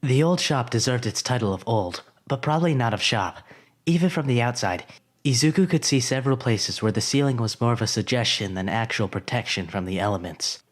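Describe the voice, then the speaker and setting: A man narrating a story in an expressive, scripted storytelling way. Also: mid-range voice, fairly quick.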